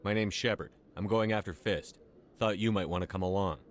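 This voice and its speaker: An actor playing a resolute military commander in a video game, curt and authoritative. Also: male voice, lowish voice, steady tone, fairly quick, a little hiss or hum, natural voice. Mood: firm.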